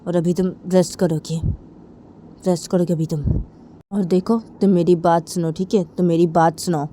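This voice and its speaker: A woman's voice: fairly low pitch, level tone, moderate pace, warm tone, slight background noise, close to an everyday voice. A woman playing a defiant, commanding wife who confronts her husband in an insistent, repetitive, bossy way.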